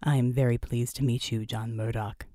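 Woman doing a low, formal synthesized voice. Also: steady tone, normal speed, warm tone, no echo, clean studio sound. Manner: formal, stiff, polite. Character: formal, courteous armored fighter with synthesized voice.

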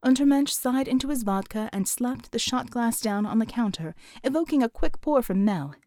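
A woman's voice: a little high, lively intonation, normal speed, mellow, no echo, clean studio sound. A woman reading an audiobook, narrating fiction expressively from a script.

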